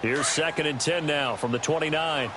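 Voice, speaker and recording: A man's voice: a little high, moderate pace, natural voice. A man voicing a polished, keen play-by-play announcer in a football video game. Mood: steady, engaged. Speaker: play-by-play sports commentator. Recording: very noisy game stream with stadium ambience. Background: loud football game sounds and ambience.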